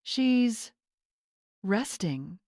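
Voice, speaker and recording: A woman's voice: fairly high pitch, sing-song, extremely slow, darkish tone, no echo, studio quality, voice pushed up, full character voice. A woman voicing an anxious, cowed groom in an audiobook, hesitant and strained.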